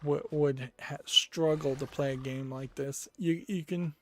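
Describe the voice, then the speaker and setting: A man hosting a game news channel, giving casual commentary while browsing store reviews and musing aloud with frequent pauses and hesitations. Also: fairly high pitch, steady tone, a bit bright, faint music behind.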